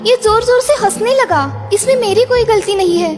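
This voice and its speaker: Woman as a very high-pitched timid servant girl. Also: normal speed, over loud music. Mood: apologetic, timid. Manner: pleading, polite. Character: timid, innocent young servant girl, apologetic. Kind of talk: Hindi children's animated fairy tale acting.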